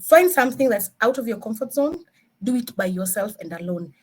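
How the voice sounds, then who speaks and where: A woman with a Kenyan accent giving an informal motivational career talk as a senior engineer, mixing in Swahili with animated, emphatic rhetorical questions. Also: on the high side, a little fast. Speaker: senior DevOps engineer, guest speaker.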